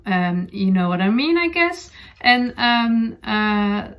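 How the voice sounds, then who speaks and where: A woman from the Netherlands in her forties with a Dutch accent, chatting casually to camera from home. She hesitates often, filling pauses with ums and uhs while she searches for English words. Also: mid-range voice, big pitch swings. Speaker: knitting podcast host. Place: bright room at home.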